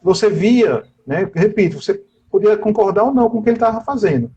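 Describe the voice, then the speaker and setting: A man's voice: high-pitched, animated, fairly quick, mellow, slightly rough, lo-fi sound. A man, a teacher giving an interview, talking at length about education policy in a discursive way and tagging his sentences with little questions. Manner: discursive, with constant tag questions. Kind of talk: political interview over a live stream.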